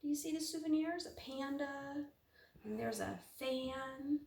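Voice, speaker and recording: A woman reads a storybook aloud to children in a roomy, echoing space, a little away from the mic. Her delivery is expressive and unhurried, with dramatic stretches and questions put to her young listeners. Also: high voice, vocal fry, far from the mic, slight background noise. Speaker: storybook reader. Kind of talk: children's picture-book read-aloud with asides to young listeners.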